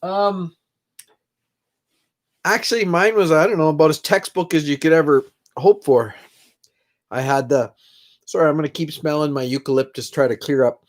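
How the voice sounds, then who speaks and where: A man's voice: fairly high pitch, normal speed, pauses often. A middle-aged man who is congested, rambling casually on a live stream from his own room and greeting viewers by name. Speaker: livestream host.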